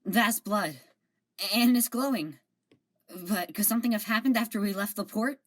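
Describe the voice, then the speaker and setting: A woman plays a young security officer aboard a ship in an amateur audio drama. Her voice is ordinary in pitch, and her delivery is anxious and blunt. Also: normal speed, fairly bright tone, natural voice. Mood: uneasy. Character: young female security officer, nervous then sharp.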